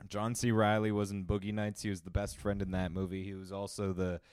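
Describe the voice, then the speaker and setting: A man's voice: lowish voice, level tone, a little fast, a little mellow, clean tone, dry recording, studio quality. A young man co-hosting a casual movie podcast, joking and opinionated, laughing often. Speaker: podcast co-host. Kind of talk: casual movie-review chat.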